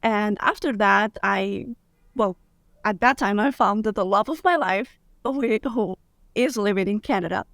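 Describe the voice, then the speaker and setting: Gravelly-voiced woman lawyer guest, dry sound. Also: mid-range voice, unhurried, a bit bright, no echo. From Morocco, grew up in Spain. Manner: upbeat, candid, laughs easily. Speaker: guest, international business lawyer. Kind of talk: casual interview conversation.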